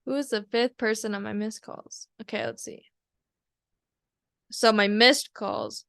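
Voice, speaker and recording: Bright-voiced giggly young woman. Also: on the high side, fairly even intonation, unhurried, creaky, no room sound, studio quality. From South Korea. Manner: giggly, laid-back, swears casually. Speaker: podcast co-host. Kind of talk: casual chat.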